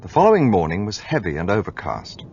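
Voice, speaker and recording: An actor playing an earnest, impulsive gentleman who narrates a radio play in an expressive way. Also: low voice, fast, dull audio, a little hiss or hum, exaggerated voice.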